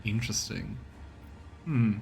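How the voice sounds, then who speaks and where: A man's voice: on the low side, slow, creaky, darkish tone, soft background music. A man streaming a game, reading its text aloud as narration and adding casual comments of his own. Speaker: streamer, let's player.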